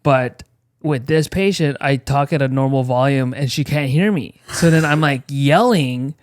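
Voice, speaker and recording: A man co-hosting a casual podcast chat, telling lively work stories and acting out what people said. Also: mid-range voice, moderate pace, dry recording, clean studio sound. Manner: animated storyteller, acts out quotes. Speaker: co-host.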